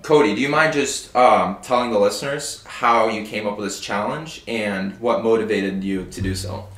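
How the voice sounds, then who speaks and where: A man's voice: on the low side, moderate pace, fairly bright tone. Young male podcast host, Swedish native speaker, upbeat and jokey, roomy distant sound. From Sweden. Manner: upbeat, jokey, many fillers. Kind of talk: casual podcast chat. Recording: echoey room, mic at a distance.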